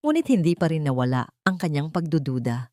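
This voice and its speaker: Woman reading a dramatic love story, lowish voice, dry sound. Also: very animated, a little fast, warm tone, dry recording. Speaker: narrator. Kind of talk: scripted story narration.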